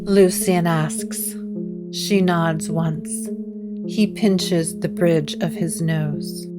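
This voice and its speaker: A woman narrates a gothic audio drama with a solemn, atmospheric delivery. Her pace is slow and measured, her pitch a little low. Music plays clearly behind her. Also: fairly even intonation.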